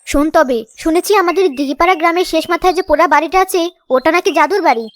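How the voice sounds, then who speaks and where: A woman's voice: very high-pitched, very fast, no room sound. A woman voicing a scheming, irritable village sister-in-law in a cartoon, bossy and slyly coaxing. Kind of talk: cartoon story voice acting.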